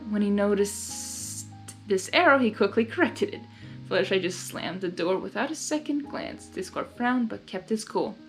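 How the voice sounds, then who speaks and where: A woman reading fan fiction aloud, mixing expressive story narration with casual spoken reactions. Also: medium pitch, normal speed. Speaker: fan fiction reader and commentator. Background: faint music.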